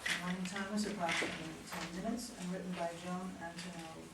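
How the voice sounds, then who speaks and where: A woman's voice: on the low side, level tone, fast talker. A woman reading a play's cast list and stage directions aloud as narrator. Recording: echoey room, far mic, clear background noise.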